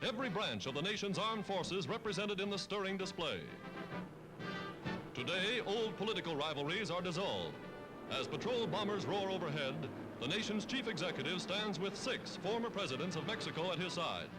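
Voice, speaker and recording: A man's voice: high-pitched, lively intonation, moderate pace, low-quality recording. An American man narrating a scripted 1940s newsreel in a dramatic, emphatic, declamatory manner, on a vintage film soundtrack. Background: orchestral music.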